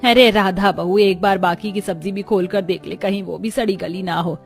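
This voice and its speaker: A woman's voice: mid-range voice, steady tone, normal speed, vocal fry, lightly acted. Casting: elderly village mother-in-law, played by a woman, bossy but fond, exclamatory storybook delivery, faint music bed. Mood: fond, inquisitive.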